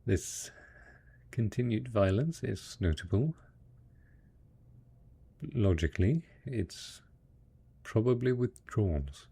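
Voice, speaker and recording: A man performs a devoted male survivor in an intimate post-apocalyptic audio drama. The voice is low and husky with a gravelly rasp. He speaks slowly and tenderly, coaxing a beloved spouse with stubborn hope. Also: dark tone, heavily acted. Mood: thoughtful, caring. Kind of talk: intimate audio roleplay acting.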